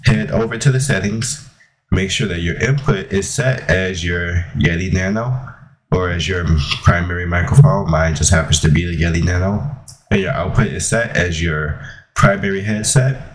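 A man hosting a software tutorial, talking informally and step by step in an instructional way. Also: deep voice, normal speed. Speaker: tutorial host.

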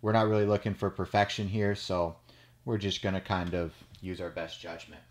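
A man's voice: sits low, little pitch movement, normal speed. A man in his thirties, a judo coach giving a casual step-by-step how-to demonstration, checking in with "okay?" as he goes. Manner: casual, explanatory, frequent "okay?" check-ins. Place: small storage room with mats, indoors. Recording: echoey small room, faint noise.

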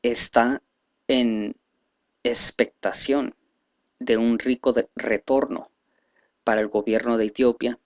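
A man reading a speech aloud in a halting, careful way, stumbling over words now and then. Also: medium pitch, steady tone, no room sound, dull audio. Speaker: reader presenting a selected speech.

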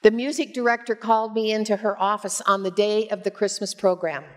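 A woman in her sixties preaching as a pastor, with measured, expressive storytelling, on a headset mic in a church. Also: medium pitch. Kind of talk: sermon with personal storytelling. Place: church sanctuary, at a pulpit.

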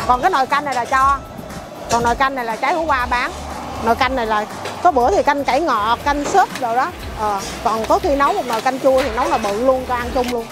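A woman's voice: high voice, quick pace, over music. A middle-aged woman with a Southern Vietnamese accent who runs a market food stall, chatting casually and listing her dishes one after another. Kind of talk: casual interview chat.

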